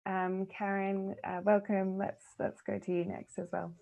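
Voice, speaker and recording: A woman's voice: medium pitch, flat delivery, a little slow, gravelly, warm tone. A woman hosting a panel discussion on a video call, introducing the guests and moderating in an orderly way. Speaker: host.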